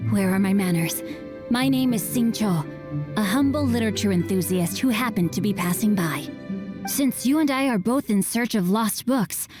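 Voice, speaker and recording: Woman playing polite bookish game character, slightly high pitch, earnest, courteous, soft background music. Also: close to an everyday voice. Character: polite, bookish young wandering literature enthusiast. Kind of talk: video game voice acting.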